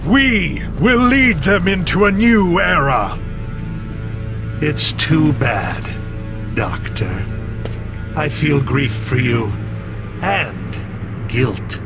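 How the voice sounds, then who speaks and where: Evil game AI, cold and condescending, slightly high voice, real slow, loud music under it. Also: male voice, expressive, exaggerated voice. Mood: grandiose. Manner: measured, solemn, condescending. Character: coldly calculating male artificial intelligence turned on its creator. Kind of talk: dramatic video game cutscene acting.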